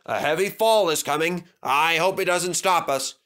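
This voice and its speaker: A man's voice: fairly high pitch, normal speed, fairly bright tone, voice pushed up, natural voice. A man voicing a concerned, cautioning engine driver in a children's story. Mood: worried. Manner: earnest, cautioning.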